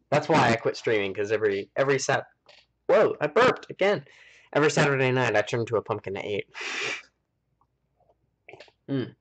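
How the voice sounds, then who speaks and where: A man's voice: mid-range voice, lively intonation, normal speed, long pauses, a bit bright, rough recording. An American man hosting a game live stream, chatting casually and rambling as he thinks aloud. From United States. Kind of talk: casual chat while playing a game.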